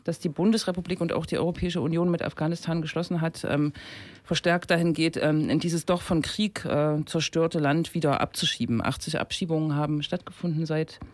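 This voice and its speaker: A man's voice: fairly high pitch, steady tone, brisk, no room sound. A man hosting a radio show, talking informally with many fillers as he moves into an interview. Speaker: radio host. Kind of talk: casual radio chat and interview.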